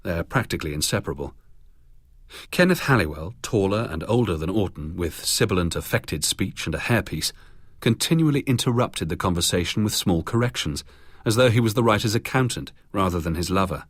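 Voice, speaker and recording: A man's voice: sits low, lively intonation, fairly quick, no room sound, studio quality. A British man narrating a scripted biography for radio.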